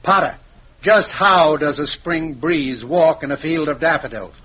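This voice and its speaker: A muffled vintage radio recording has a grumpy, tight-fisted boss. His fairly high, clouded voice is curt and sardonic, snapping in exasperation like classic radio comedy. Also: unhurried, breathy edge. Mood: sarcastic, exasperated. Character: grumpy, penny-pinching, sarcastic male store boss. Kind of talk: 1940s radio comedy acting.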